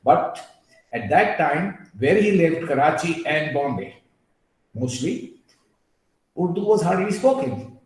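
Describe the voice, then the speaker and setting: A man giving an earnest, emphatic webinar talk, drifting into asides and filling pauses with "uh". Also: a little high, expressive. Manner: emphatic, digressive, with frequent fillers. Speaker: guest speaker on a webinar. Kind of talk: earnest lecture with personal asides. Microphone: video call. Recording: very echoey room over a call.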